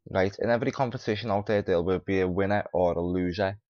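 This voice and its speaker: A man's voice: sits low, fast talker, no echo. A man hosting a video commentary, reading an article aloud with off-the-cuff remarks and stumbling over words. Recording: dull lo-fi mic, dry.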